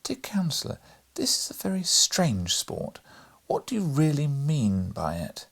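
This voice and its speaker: A narrator voicing a serious, concerned mother from a storybook, formal and gently reproving. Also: medium pitch, very animated, normal speed, creaky, pitched above the performer's own voice.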